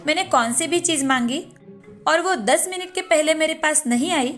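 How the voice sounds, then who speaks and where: A woman's voice: high voice, moderate pace, a bit bright, faint music behind. A woman voicing a spoiled, entitled young rich daughter-in-law in a cartoon moral story. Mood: haughty, demanding. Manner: entitled, demanding. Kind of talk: animated moral-story dialogue.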